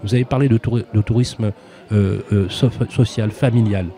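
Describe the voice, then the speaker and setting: Guy hosting a radio interview, lowish soft mellow voice, wordy and effusive, repeats himself a lot. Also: steady tone, moderate pace. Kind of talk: conversational live radio interview.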